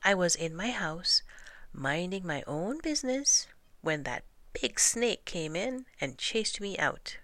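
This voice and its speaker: A woman voicing a timid, frightened little rabbit who stammers and pleads in an animated folktale. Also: lowish voice, slow, dry recording, pitched above the performer's own voice, full character voice. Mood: frightened, pleading.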